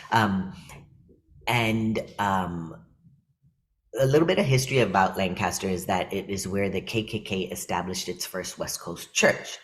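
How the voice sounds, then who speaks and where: A man from California with a deep, low voice, speaking to a workshop over a video call. He talks in a loose, reflective way, full of fillers and restarts, like someone chatting rather than lecturing. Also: a little slow. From California, USA. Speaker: workshop presenter and comedian. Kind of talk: casual talk to an online workshop.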